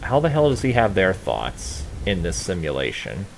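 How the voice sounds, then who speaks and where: A man's voice: deep voice, wildly swooping pitch, moderate pace, creaky. A man giving casual, rambling let's play commentary, hesitant and casually profane. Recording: noisy home gameplay recording.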